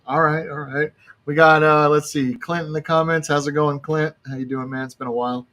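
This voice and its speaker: A man with a fairly high voice hosts a casual podcast over a video call. He talks in an easygoing, halting way, full of fillers and restarts. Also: flat delivery, sharp tone. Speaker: podcast host.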